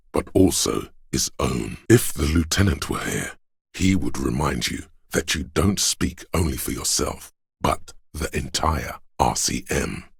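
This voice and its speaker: A man playing a solemn, brotherly inner voice of police instinct in a video game, narrating in a measured, brooding, literary way with a gravelly, husky tone. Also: rumbling low, dry recording, studio quality, full character voice. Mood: solemn, concerned. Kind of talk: scripted second-person game narration.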